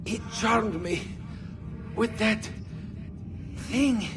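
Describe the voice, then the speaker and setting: An actor playing a dying, remorseful man in a video game, speaking in a halting, plaintive, pleading way. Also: sits high. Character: dying, remorseful male preacher, pleading and self-excusing. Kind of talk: dramatic video game death scene. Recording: music behind the voice.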